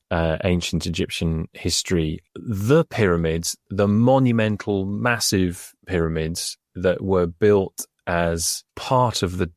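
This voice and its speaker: A man, an Egyptologist, explaining ancient history in a deadpan, measured way on a podcast, with many small fillers. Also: fairly low pitch, no echo, studio quality. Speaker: Egyptologist co-host.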